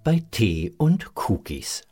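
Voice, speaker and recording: A man with a low, slightly husky voice narrates a children's audio drama. He takes his time and varies his melody expressively, with faint music around the story. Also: sing-song, slow, mellow.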